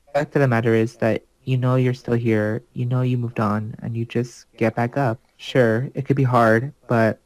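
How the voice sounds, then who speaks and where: A man's voice: medium pitch, little pitch movement, normal speed, rough recording. A man chatting casually in an earnest, reassuring manner. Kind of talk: casual chat.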